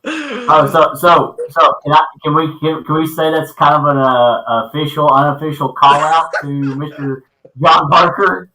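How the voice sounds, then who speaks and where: A man's voice: on the high side, bright, forceful tone, slight background noise. A man in his thirties hosting a casual live podcast chat, often stalling on repeated words and "uh". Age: 30s. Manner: halting, repeats words and fills with uh. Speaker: podcast host. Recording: echoey room.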